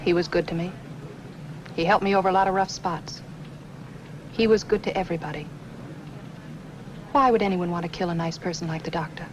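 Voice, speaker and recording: A woman's voice: on the low side, a little fast, long pauses, natural voice. A woman acting as an anxious, earnest dental nurse in a 1950s film noir, speaking plainly and defensively. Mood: earnest, recalling. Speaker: actress in a film. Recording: noisy old film soundtrack.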